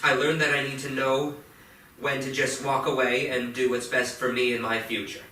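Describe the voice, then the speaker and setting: A man's voice: medium pitch, level tone, pushed and bright, natural voice. Echoey, earnest teenage boy, played by a man. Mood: nervous remorse. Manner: earnest, deliberate reading of an apology. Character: remorseful teenage boy, earnest, owning his mistake. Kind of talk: staged play reading. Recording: echoey theatre, far mic, faint noise.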